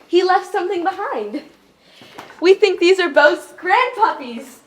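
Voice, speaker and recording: A woman's voice: very high-pitched, expressive, measured pace, a little hiss or hum. A woman playing a brave, defiant young princess with bold stage delivery in a musical reading. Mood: solemn. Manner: bold, declamatory. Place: indoor stage at an arts venue.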